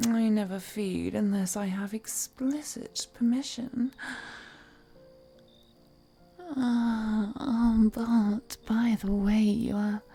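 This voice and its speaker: Woman acting a slow, whispering, seductive ancient vampire lady. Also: medium pitch, dark tone. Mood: teasing, seductive. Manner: breathy whispering, slow, teasing, formal courtly phrasing. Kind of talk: intimate roleplay audio acting, mostly whispered.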